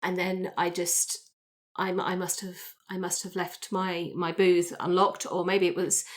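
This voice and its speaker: A woman's voice: lowish voice, level tone, measured pace, natural voice. A woman playing an evasive, flustered woman in an improvised tabletop roleplay, stammering and hesitant. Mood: flustered, defensive. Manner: hesitant, stammering, self-interrupting.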